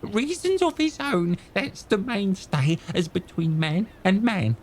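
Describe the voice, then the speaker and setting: A man's voice: high-pitched, animated, takes it slowly, heavily acted. An actor playing a half-crazed old male castaway who stammers, rambles and repeats himself. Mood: wheedling. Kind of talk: radio drama acting.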